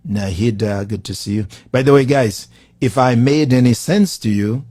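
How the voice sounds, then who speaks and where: A man from Haiti with a Haitian accent, giving a live-streamed motivational talk to viewers, building his lines with slow, preacherly emphasis. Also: on the low side, dark tone, no echo, clean studio sound. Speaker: motivational speaker.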